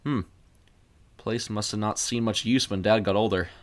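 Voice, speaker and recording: A man voicing a gentle, consoling brother in an audio story, in a plain, understated way. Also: fairly low pitch, fairly even intonation, normal speed, close to an everyday voice. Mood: musing. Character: gentle, consoling brother, curious and low-key.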